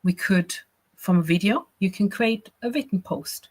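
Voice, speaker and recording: A woman's voice: mid-range voice, animated, normal speed, darkish tone. A woman from Austria, a business coach teaching a live workshop in a chatty, encouraging way, often checking in with "right?" and "you know".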